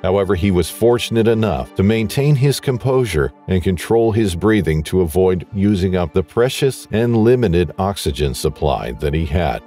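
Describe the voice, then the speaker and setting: Deep-voiced man narrating a documentary. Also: expressive, normal speed, few pauses, warm tone, soft background music.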